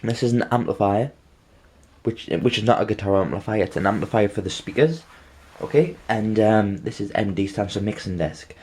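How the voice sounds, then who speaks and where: A man presenting an informal how-to demonstration, halting and rambling, often checking in with the listener. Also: on the low side, darkish tone, slightly rough. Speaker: video tutorial presenter.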